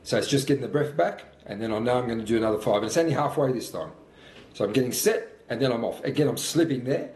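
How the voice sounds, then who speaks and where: A man's voice: medium pitch, expressive, quick pace, darkish tone. A man of about fifty talks over footage of his own workout, coaching in a plain, encouraging way. His voice sits in a middle register. The sound has a noticeable roomy echo. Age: 50s. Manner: motivational, talks through his own thoughts. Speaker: fitness coach.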